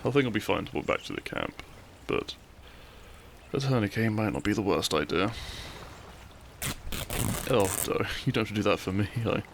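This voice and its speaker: Man acting a cheeky low-voiced bandit outlaw. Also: expressive, normal speed, vocal fry, pauses often, background noise. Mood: pained, reassuring. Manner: teasing, gallant, dramatic. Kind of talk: audio roleplay acting, addressing the listener.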